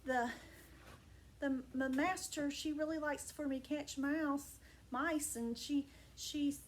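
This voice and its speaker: A woman's voice: sits high, steady tone, slow pace, a little hiss or hum. Woman doing a tired old she-cat. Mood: weary. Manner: soft, rambling and hesitant.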